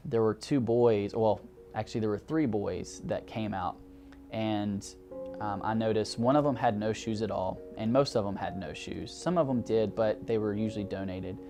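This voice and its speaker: Young man, Southern American accent, lowish voice, earnest, reflective, says "like" a lot, music behind. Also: normal speed. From Alabama, United States. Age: young adult. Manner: earnest, reflective, filler-heavy with "like" and "you know". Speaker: graduate counseling student. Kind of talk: documentary interview storytelling.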